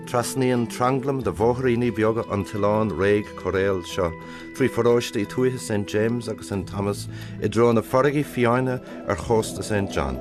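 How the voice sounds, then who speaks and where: A man with an Irish accent reading scripted documentary narration in a measured, solemn way. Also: lowish voice. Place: voice-over studio. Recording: voice-over with loud music bed.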